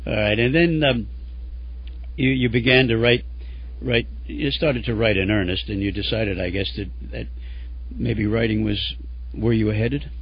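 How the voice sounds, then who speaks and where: A male radio host doing an interview, hoarse from fighting a cold, chatty and rambling with lots of fillers. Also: on the low side, normal speed. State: losing his voice from a cold. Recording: dull lo-fi radio audio.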